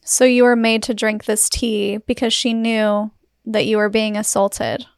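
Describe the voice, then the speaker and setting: A woman hosting an interview, asking gentle, probing questions in a conversational way. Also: mid-range voice, little pitch movement, measured pace, creaky, smooth, dry recording, studio quality. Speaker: interviewer, show host.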